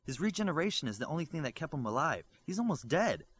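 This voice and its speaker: A man's voice: on the high side, very animated, fast, breathy edge, voice pushed up. A man voicing a dry, matter-of-fact female healer heroine in an audiobook.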